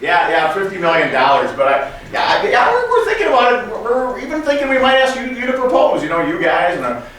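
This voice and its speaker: A man's voice: sits high, huge pitch swings, fairly quick, a bit bright, voice pushed up, full character voice. A man playing a scheming corporate finance chief in a comedy skit, chummy and coy, hinting hesitantly and feigning innocence. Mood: coy, tempting. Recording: echoey room, distant mic, background noise.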